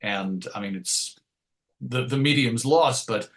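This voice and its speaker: A man's voice: medium pitch, slow pace. A man speaking as a comics writer being interviewed, conversational and thoughtful, often rambling and correcting himself as he goes. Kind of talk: conversational interview answers, reflective.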